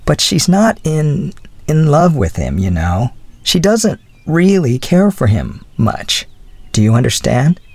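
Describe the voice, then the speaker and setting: A man's voice: fairly high pitch, big pitch swings, slow, darkish tone, breathy edge, no echo, pitched above the performer's own voice, exaggerated voice. A man voicing an earnest, flustered young woman in a storybook, speaking in a hesitant, gushing way. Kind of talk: storybook dialogue.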